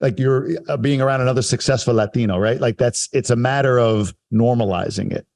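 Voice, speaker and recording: A man's voice: mid-range voice, fairly quick, darkish tone. A man hosting a podcast interview, enthusiastic and affirming, backing up his guest with frequent agreement. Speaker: podcast host. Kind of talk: conversational interview.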